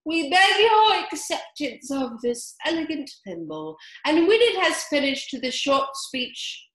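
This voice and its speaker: A woman's voice: high voice, very animated, slow, fairly bright tone, pitched above the performer's own voice, heavily acted. A woman voicing a grave, solemn male dodo bird in a storybook reading, speaking in a ceremonious way. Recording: roomy echo.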